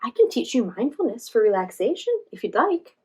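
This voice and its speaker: A woman voicing a calm, caring female friend in a children's storybook, guiding gently. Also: on the high side, breathy edge, full character voice. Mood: kindly offering. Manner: gentle, slow guiding delivery.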